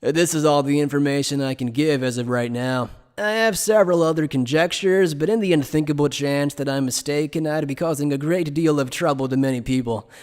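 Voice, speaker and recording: A man playing an evasive, playful scholar who explains things in a polite, measured, teasing way in an anime fan dub. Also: on the high side, darkish tone, lightly acted. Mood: measured, probing. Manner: polite, measured, teasing lecturer with coy understatement.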